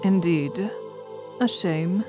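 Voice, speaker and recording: Queen goddess played by a woman: unhurried, assured, commanding, audio drama with music behind. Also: on the low side. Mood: cool. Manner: stately, assured. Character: regal, composed queen goddess, coolly commanding.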